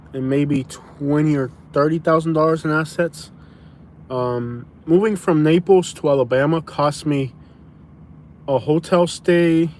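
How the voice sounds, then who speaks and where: A young man from Florida talks loosely in a live Q&A, circling back over his points and tossing in "bro". His voice sits a little high. A faint noise floor runs under the recording. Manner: rambling, repeats himself and restarts, says "bro". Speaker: live-stream host answering viewer questions. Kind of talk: casual live Q&A chat.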